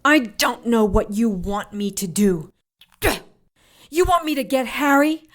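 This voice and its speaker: Woman acting defiant witch held captive, high voice, slow sarcastic talk, radio drama, mouthy. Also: animated. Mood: sarcastic defiance.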